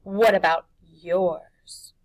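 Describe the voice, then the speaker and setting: A woman voicing a brave young boy hero in a storybook, calm, firm and challenging. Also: fairly low pitch, very slow, poor audio.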